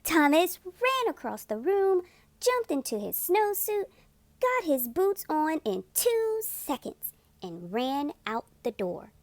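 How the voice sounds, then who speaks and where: High, lively woman reading a storybook. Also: no echo. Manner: animated, deliberate, emphatic. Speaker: storytime host and reader. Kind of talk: expressive children's storybook narration.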